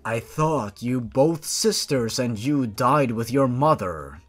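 A man voicing a towering faceless monster father in a storybook reading, formal, stern and paternal, with a deep tone. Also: animated, takes it slowly, soft background music. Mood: moved.

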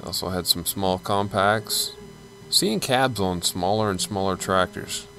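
Casual male vlogger, lowish voice, relaxed show-floor commentary, faint music bed. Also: a little slow. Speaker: channel host. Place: large indoor exhibition hall.

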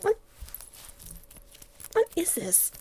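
A woman voicing a playful, clingy young catgirl girlfriend in an ASMR roleplay, affectionate and cooing, close to the mic. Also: slight background noise. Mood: puzzled, reluctant. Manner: soft, affectionate, slow with long pauses, cooing.

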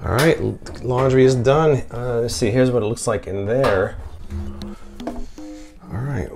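A man's voice: medium pitch, measured pace, music in the background. A middle-aged American man in his forties giving a casual product review to camera. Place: covered outdoor patio and indoor laundry room. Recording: noisy background ambience.

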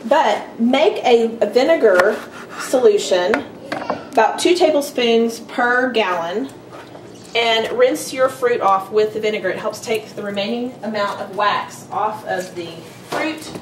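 A woman's voice: medium pitch, measured pace, noisy background. A middle-aged woman hosting a casual home cooking demo, chatty and upbeat. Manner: chatty, upbeat, loose instructions. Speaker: cooking show host. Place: home kitchen. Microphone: far camera. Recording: echoey kitchen from far off, clattering dishes.

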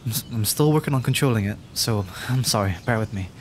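A man's voice: lowish voice, fast, background noise, natural voice. A man voicing a timid, adorkable young dragon in a roleplay audio drama, stammering, hesitant and rambling. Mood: flustered, apologetic.

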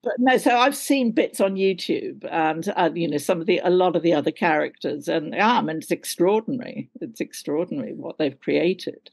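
Casting: older woman, lowish voice with plenty of fry, wry and bantering in casual interview talk. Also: lively intonation, moderate pace, few pauses, a little mellow. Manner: wry, self-deprecating, bantering. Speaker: guest, actor. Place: at home on a video call.

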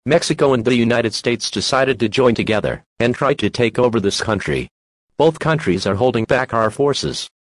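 A man's voice: medium pitch, moderate pace, dry recording. A man reading a scripted emergency broadcast announcement in a formal, impersonal manner.

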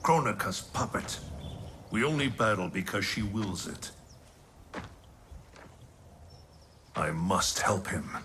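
An actor playing a solemn, commanding older thunder god in a video game, speaking with grave, formal diction. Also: male voice, low voice, animated, measured pace, very noisy. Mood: remorseful, solemn. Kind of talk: dramatic video game cutscene acting.

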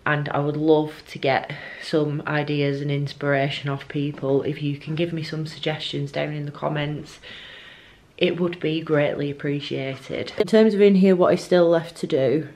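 A woman's voice: deep voice, steady tone, moderate pace, darkish tone. A woman, talking casually to camera as a home vlogger in a hesitant, musing way. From North of England. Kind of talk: casual vlog talk to camera. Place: living room at home.

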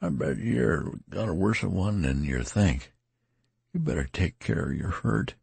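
Male reader doing a tattered, wounded rustic soldier: raised, rasping voice, rambling folksy speech, audiobook. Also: lowish voice, mellow, no echo, voice pushed up, exaggerated voice. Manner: rambling folksy dialect, slurring as he weakens.

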